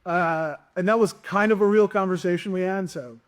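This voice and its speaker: A middle-aged man hosts a casual podcast conversation, his voice sitting a little high for a man. He rambles through stories and jokes, restarting his sentences often. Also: moderate pace, a little hiss or hum. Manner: rambling, joking, lots of restarts. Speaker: podcast host and stand-up comic. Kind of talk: casual interview chat.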